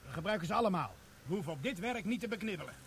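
A sharp-tongued army corporal, pitched high, who gives orders in a dry, mocking way. He teases his superior without ever raising his voice. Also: animated, very fast, slight background noise. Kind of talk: radio drama acting.

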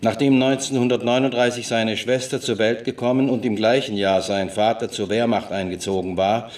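An actor playing a presiding judge in a radio play, reading a verdict in a formal, measured, official manner. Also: male voice, mid-range voice, steady tone, a little mellow, recorded from a distance, natural voice. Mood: formal, stern.

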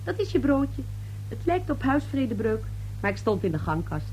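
An actress playing a brash, slippery young newspaper reporter in a radio play, glib, insistent and patronizing. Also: female voice, a little high, big pitch swings, moderate pace, dark tone. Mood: brash, breezy. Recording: noisy old radio drama recording.

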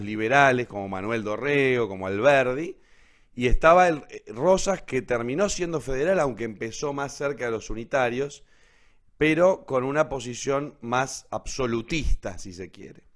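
A man's voice: mid-range voice, expressive, normal speed, fairly bright tone. Argentine man giving an informal literary talk. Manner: didactic, seeking agreement with tag questions. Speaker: host introducing literature.

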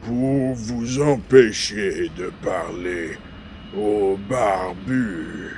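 A man playing a drugged, dim-witted henchman in a science-fiction audio drama, groggy and halting as he answers. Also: on the low side, a little hiss or hum. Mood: dazed, compliant. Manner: dazed, flat, halting answers. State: groggy from a drug, acted. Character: drugged, dim-witted male henchman under truth serum.

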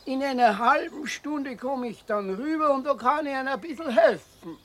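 An actor playing a friendly older male neighbor in a radio play, with a slow, homely way of chatting. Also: extremely high pitch, fairly bright tone. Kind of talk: 1970s radio play acting.

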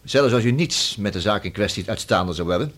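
Low-voiced, formal Dutch police inspector. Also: male voice, lively intonation, a bit bright. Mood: firm, formal. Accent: Netherlands Dutch. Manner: formal, courteous, measured and insistent. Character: polite, persistent male police inspector. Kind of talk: radio play acting.

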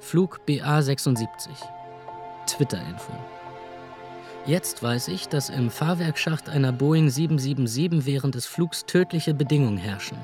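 An actor playing a drifting young would-be crime writer, giving a dry, self-deprecating monologue in a radio drama. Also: male voice, mid-range voice, expressive, moderate pace, music in the background, close to an everyday voice. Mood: sober, reflective. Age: young adult.

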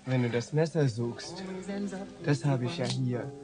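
An actor playing a needling, fearless seducer in a radio crime drama, speaking in a provocative, probing way. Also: male voice, medium pitch, measured pace, lightly acted. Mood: probing, defiant.

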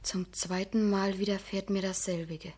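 Woman acting dazed young lady in a radio drama, breathy voice, solemn. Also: medium pitch, little pitch movement, moderate pace, a little mellow, close to an everyday voice. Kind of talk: German radio play acting.

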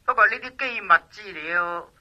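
An actor playing a brash, self-important police officer in a Cantonese radio drama, speaking pompously and emphatically through a telephone filter with a Hong Kong accent. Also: male voice, sits high, moderate pace. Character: brash, self-important police special investigations lieutenant colonel. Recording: muffled telephone-line sound.

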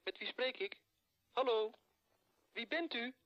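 An actor playing a polite, businesslike police telephone operator through a telephone filter in a radio drama. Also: male voice, extremely high pitch, slow. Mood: insistent. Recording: muffled, band-limited telephone line.

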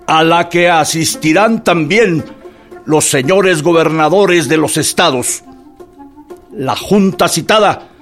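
A higher-pitched pompous revolutionary leader. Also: male voice. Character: authoritative, pompous male revolutionary leader dictating a decree. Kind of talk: radio drama acting.

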